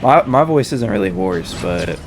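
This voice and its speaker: A young man, slightly hoarse and stuffed up with a cold, telling a story in casual, slangy, sweary talk. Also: lowish voice, sing-song, a little slow, background noise. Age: young adult. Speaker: storytelling host.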